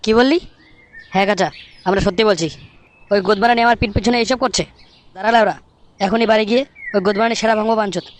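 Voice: mid-range voice, fairly even intonation, quick pace, pauses often, slight background noise, lightly acted. A performer voicing a foul-mouthed, irritable young male cartoon buddy in a comic dub. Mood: scheming, annoyed. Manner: crude, swearing, brash.

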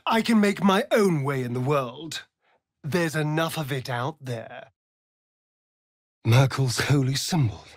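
A man's voice: medium pitch, expressive, slow pace, long pauses, no room sound, clean studio sound. A man playing a sly, vain, sardonic vampire rogue in a fantasy video game, with an arch, theatrical drawl. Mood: wry, casual.

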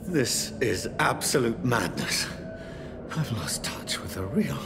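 A man voicing an anguished, newly turned vampire doctor in a video game, speaking in a halting, intense, brooding monologue. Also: medium pitch, big pitch swings, creaky, heavily acted. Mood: bewildered despair. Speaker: game protagonist. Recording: loud music over the voice. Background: loud music.

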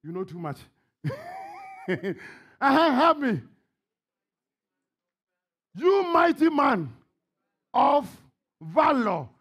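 A middle-aged man with a Ghanaian accent and a husky voice preaches emphatically in short phrases, often shouting and repeating questions to his congregation. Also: very high voice, wildly swooping pitch, drawn-out words, long pauses. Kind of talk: fervent, call-and-response sermon with pauses for interpreter. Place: church hall, on stage before a congregation.

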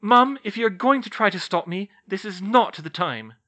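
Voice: medium pitch, expressive, normal speed, bright, forceful tone, highs cut off, pitched above the performer's own voice. A narrator voicing a blunt, defiant teenage witch daughter who is snappy and insistent, in a fantasy audiobook.

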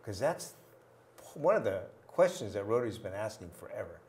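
A man's voice: fairly low pitch, sing-song, moderate pace, a little mellow, slight background noise. Older male host in a TV studio. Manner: short encouraging acknowledgments between questions. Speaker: TV talk show host. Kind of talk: studio interview. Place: small TV studio set.

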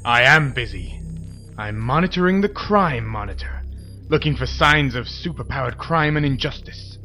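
An actor playing a self-important, penny-pinching superhero in a comedy audio drama, earnest and declamatory. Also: male voice, mid-range voice, expressive, moderate pace, sharp tone, soft background music.

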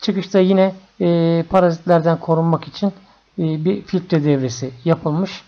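A man narrating an informal electronics tutorial in an unhurried, matter-of-fact way. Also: fairly high pitch, steady tone, mellow. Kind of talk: informal explanatory screencast narration. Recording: dull, lo-fi screencast audio.

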